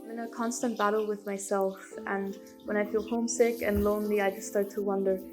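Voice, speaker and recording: A young woman from Italy, a native Italian and German speaker, reflects on life abroad in a mid-range, level voice that barely rises or falls. She is an international student giving a documentary interview. Soft music plays underneath. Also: mid-range voice, level tone, fairly quick. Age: young adult.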